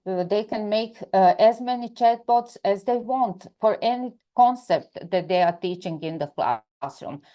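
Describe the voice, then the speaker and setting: A woman with a Croatian accent presents in English over a video call. Her voice is warm and mellow at a middling pitch. She hesitates often, filling gaps and restarting phrases as she goes. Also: measured pace, few pauses. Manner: hesitant, many filler sounds and restarts. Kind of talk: online presentation.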